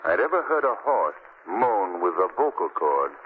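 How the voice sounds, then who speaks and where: On a narrow, hissy vintage radio recording, a composed gentleman sleuth speaks deliberately and courteously. His tone is knowing, and his pitch moves widely in 1940s mystery-drama style. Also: sing-song. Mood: grave, composed. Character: calm, knowing gentleman investigator. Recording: muffled, noisy old radio recording.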